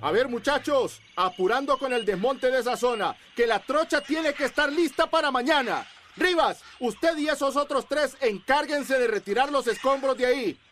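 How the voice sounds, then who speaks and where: A man's voice: very high voice, moderate pace, a bit bright, faint music behind. A man playing a bossy foreman in a radio drama, calling out orders to a crew. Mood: commanding.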